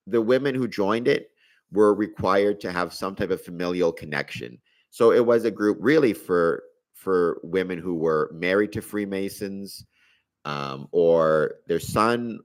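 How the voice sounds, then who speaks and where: Guy in his 40s w a really low voice, casual livestream host, kinda thinks out loud as he goes. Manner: conversational, thinking aloud with hedging asides. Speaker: live stream host. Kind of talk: casual live Q&A chat.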